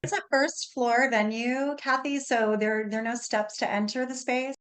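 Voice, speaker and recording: A woman's voice: fairly high pitch, fairly even intonation, moderate pace, a bit bright. A woman speaking as a guest in a radio interview conversation.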